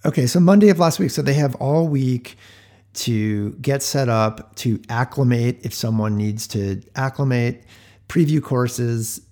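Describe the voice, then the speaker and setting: A man with an average-pitched voice chats casually as a sports podcast co-host. He is analytical and conversational, working through his points aloud. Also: measured pace, warm tone.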